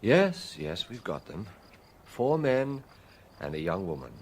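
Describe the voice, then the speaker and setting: An actor playing a middle-aged British chief inspector in a radio drama, fatherly and reflective, speaking in a measured, musing way. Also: low-pitched, big pitch swings, a little hiss or hum, heavily acted. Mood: gentle, businesslike. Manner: measured, musing, gently probing. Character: veteran male police chief inspector, fatherly, quietly reflective.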